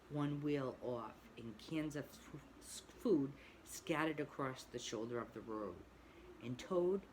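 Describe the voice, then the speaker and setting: Slow, expressive teacher reading to kids. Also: female voice, very deep voice, slight background noise, low-quality recording. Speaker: pre-K teacher. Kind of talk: children's read-aloud.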